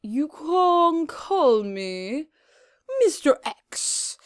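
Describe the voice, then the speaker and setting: A pompous male villain speaking from a screen, as a woman puts him on, drawing out every word slowly. The sound is dry and close. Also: sits high, very animated, no echo, voice pushed up, heavily acted. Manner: slow, drawn-out, self-important delivery.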